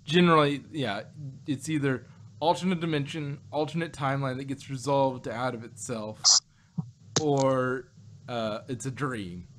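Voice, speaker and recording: Male podcast host, mid-pitched, loose rambling delivery, audible background noise. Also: steady tone, measured pace. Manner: rambling, repeats and rephrases himself. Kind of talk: casual review chat about sci-fi shows.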